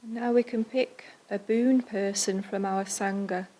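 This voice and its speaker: Even-toned woman guiding a meditation. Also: mid-range voice, a little slow, rough recording. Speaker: meditation leader. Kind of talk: guided Buddhist loving-kindness meditation.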